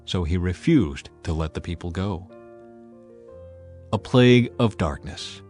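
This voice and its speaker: A man's voice: low voice, expressive, a little slow, darkish tone, faint music behind. A man narrating a dramatized scripture audiobook in a solemn, emphatic storytelling manner.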